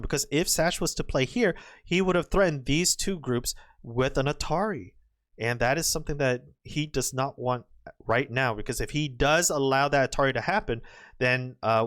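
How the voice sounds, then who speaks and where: Excitable, slightly hoarse male go commentator. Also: a little high. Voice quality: excitable, exclamatory, trails off and restarts. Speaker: go game commentator. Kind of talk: excitable live game commentary.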